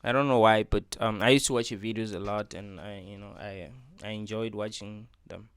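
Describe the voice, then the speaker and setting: A man's voice: lowish voice, fairly even intonation, a little fast, clear, resonant tone, no echo. A man hosting a reaction video, talking in an earnest, preachy way and repeating his points with lots of filler words. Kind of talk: casual opinion monologue.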